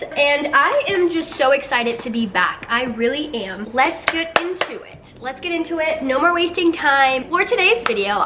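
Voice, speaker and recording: Chatty young woman w a stuffy nose from a cold, pretty high voice, talking right at the camera. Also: sharp tone, slight background noise. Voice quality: nasal. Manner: chatty, upbeat, talks straight to viewers. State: congested from a head cold. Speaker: beauty and storytime vlogger. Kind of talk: casual get-ready-with-me storytelling.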